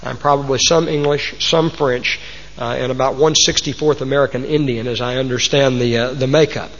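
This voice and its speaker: A man's voice: medium pitch, steady tone, moderate pace, a bit bright. A man with a Texas accent preaching a sermon to a congregation, folksy and homespun, fond of old sayings. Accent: Texan. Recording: muffled recording in a large reverberant hall.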